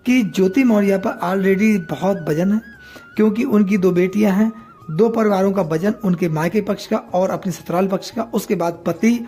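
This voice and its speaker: A man's voice: high voice, dark tone, slightly rough. A man delivering opinionated news commentary to viewers, moralizing and posing rhetorical questions. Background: background music.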